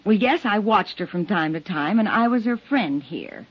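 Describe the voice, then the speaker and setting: An actress playing a flustered, defensive neighbor, hesitant and protesting under questioning in a 1950s radio drama. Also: female voice, mid-range voice, animated, normal speed, slightly rough. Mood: defensive, earnest. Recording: dull, lo-fi 1950s radio recording.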